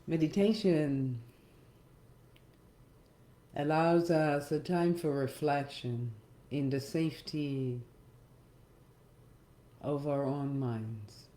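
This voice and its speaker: A very slow-speaking middle-aged woman leads a reflective meditation, leaving gentle pauses between phrases. Her tone is soft-edged and mellow. The voice sits in a roomy echo with faint music underneath. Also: low voice, steady tone. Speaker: meditation guide and hypnotherapist. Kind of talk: reflective guided meditation talk.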